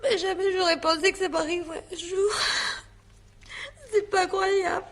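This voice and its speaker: A woman's voice: extremely high pitch, steady tone, normal speed, a bit bright. An actress playing a naive, chatty eighteen-year-old housemaid in a French radio mystery, stammering and rambling as she gives testimony. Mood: tearful, embarrassed. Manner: stammering, rambling, emphatic, colloquial.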